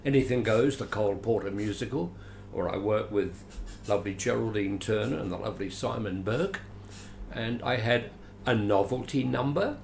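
Brief: older Australian actor, gravelly, theatrical storyteller, roomy home recording. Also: male voice, lowish voice, moderate pace. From Adelaide, Australia. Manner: animated, theatrical, chuckling. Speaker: guest, veteran actor. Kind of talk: storytelling interview. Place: his home. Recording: roomy echo, faint hiss.

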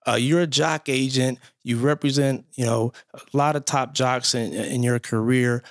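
A man's voice: mid-range voice, fairly even intonation, normal speed, no echo, clean studio sound. A man hosting a casual podcast interview, upbeat and easygoing, often saying "man" and "you know". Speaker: podcast host.

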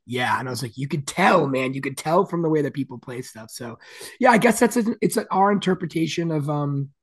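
A man with a deep, gravelly, raspy voice, chatting casually as a guest musician, with plenty of "like" and "you know" fillers. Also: dry recording. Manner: rambling, many "like" fillers. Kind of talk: casual interview chat.

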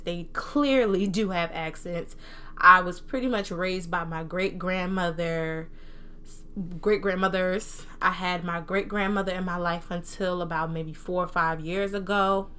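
A young woman with a bright, punchy voice that sits a little low, speaking in an American accent that blends New Jersey and the South. She talks in an animated, slangy, informal way, like a solo podcast host chatting casually. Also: measured pace, clean tone. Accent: American, New Jersey mixed with Southern. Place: own room at home.

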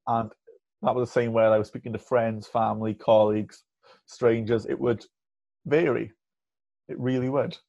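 A man's voice: medium pitch, steady tone, moderate pace, gravelly, pauses often, warm tone. Man speaking with a stammer. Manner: stammers with blocks and repeated sounds. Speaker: podcast guest. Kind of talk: candid conversational interview. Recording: muffled lo-fi audio.

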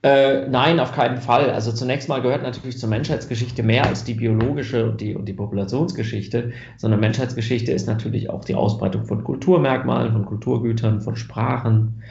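A man's voice: mid-range voice, steady tone, brisk, vocal fry, hardly any pauses, a little mellow, roomy sound, dull audio. A German man answering interview questions about genetics research, thoughtful, with many fillers and restarts.

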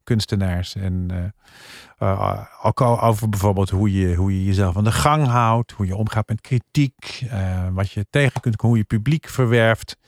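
A man's voice: fairly low pitch, moderate pace, no echo, clean studio sound. A man giving a casual spoken review on a panel, in a hesitant, piecemeal way.

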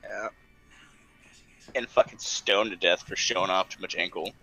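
A man's voice: sits low, fairly even intonation, moderate pace, gravelly, sharp tone, soft background music, rough recording. A man joking casually in a crude, sardonic, profane way.

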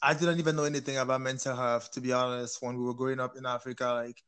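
A young man from Cameroon hosting a casual chat, animated and laughing often as he questions his guests. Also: medium pitch, quick pace, clean tone. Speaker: host.

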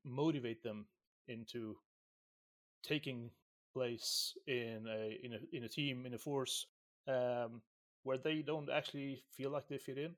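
Man from Syria, mid-pitched voice, chatty podcast co-host, rambling, frequent restarts. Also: fairly even intonation, unhurried. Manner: rambling, restarts words, laughs easily. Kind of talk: casual podcast chat.

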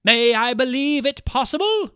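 A proud young noblewoman in a storybook breaks into desperate, tearful pleading, as a man voices her. The voice sits high and loud, and it drags slowly with emotion. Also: animated, clean tone, no echo, studio quality, full character voice. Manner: desperate, imploring. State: crying.

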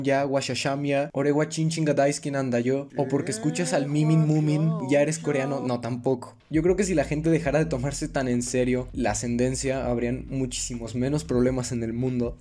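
A man's voice: medium pitch, a little mellow. A man with a Mexican accent giving a blunt, sarcastic opinion rant for a video, swearing freely. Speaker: YouTuber commentator.

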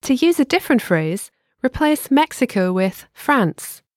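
Lively-voiced woman narrating a language lesson. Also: high voice, no echo, clean studio sound. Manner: clear, measured, instructive. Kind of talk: scripted instructional narration.

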